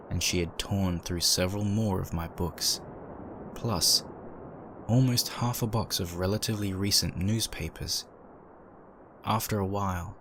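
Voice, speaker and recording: A man acting as the brooding, uneasy narrator of a dark horror audio drama, reading in a dramatic scripted style. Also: low-pitched, heavily acted. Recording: noisy, with background ambience effects.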